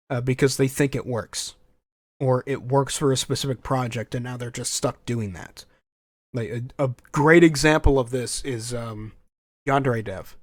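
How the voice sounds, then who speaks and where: A man's voice: mid-range voice, dry recording, clean studio sound. A young man hosting a podcast, talking casually and opinionated, his voice slightly scratchy and stuffy from a cold, often restarting phrases. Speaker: podcast host. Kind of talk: casual solo podcast rant.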